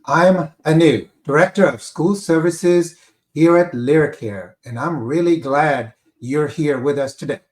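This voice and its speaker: A man hosting a live interview, talking haltingly with many fillers and a repeated "on there". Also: fairly high pitch, roomy sound. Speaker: host, company director of school services.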